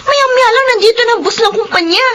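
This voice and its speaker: A woman's voice: very high-pitched, fairly quick, loud music behind the voice. An actress playing a bossy, protective female workmate who scolds, in a radio drama. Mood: scolding. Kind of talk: Filipino radio drama acting.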